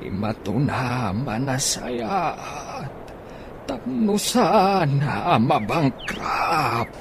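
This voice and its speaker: A very slow, ailing old father. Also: mid-range voice, very animated, vocal fry, exaggerated voice. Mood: weak, pleading. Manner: laboured, halting delivery. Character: ailing old father and business owner, weary. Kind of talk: comedic radio drama acting. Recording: noisy radio drama recording.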